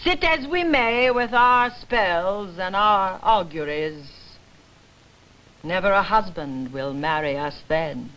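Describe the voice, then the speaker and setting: An actress playing a forlorn, defiant wife in a theatrical verse reading, declaiming in measured rhythm. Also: female voice, medium pitch, animated, a bit bright, poor audio. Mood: bitter, rueful. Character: forlorn, defiant wife lamenting war's cost to womanhood.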